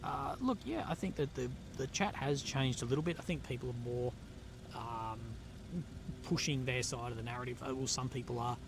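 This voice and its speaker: Man, live-stream host, mid-pitched voice, blunt and casual, noisy outdoor feed with traffic behind. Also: normal speed. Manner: blunt and swearing, many fillers and restarts. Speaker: livestream host reading chat questions. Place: outdoors in a conflict zone.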